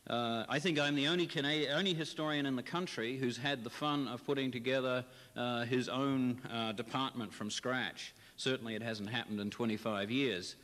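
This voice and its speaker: Middle-aged history prof chatting, lots of ums, long echo around him. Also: male voice, mid-range voice, fairly bright tone. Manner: chatty, with many fillers. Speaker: history professor. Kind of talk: interview answers. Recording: long reverb.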